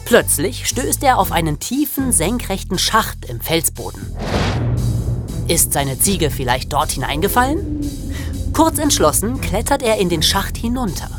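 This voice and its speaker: A man's voice: high voice, big pitch swings, unhurried. A young man co-hosting a podcast, telling scripted stories dramatically for young listeners. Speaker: podcast co-host. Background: music.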